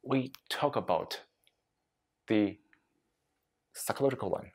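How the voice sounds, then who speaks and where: A man with a fairly deep voice gives a reflective mindfulness lesson. He talks deliberately, setting out short phrases and leaving frequent pauses between them. His wording is that of a non-native English speaker, with small slips in grammar. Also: a little mellow. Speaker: meditation teacher giving a workplace session. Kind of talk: slow, reflective mindfulness talk to a group.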